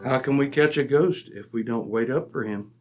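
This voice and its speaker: An actor playing a composed, incisive British gentleman detective in an old-time radio mystery, speaking briskly and precisely. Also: mid-range voice, music in the background, natural voice. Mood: calm, wry. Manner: brisk, precise, self-assured.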